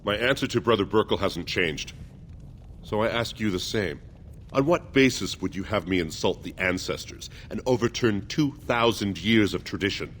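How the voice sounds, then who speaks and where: Fantasy game casting: dignified elderly dwarf archivist, deep, grave, measured and formal. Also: male voice, expressive, a bit bright, a little hiss or hum. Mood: grave, reluctant. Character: solemn, dignified older male dwarf archivist. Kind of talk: video game character acting.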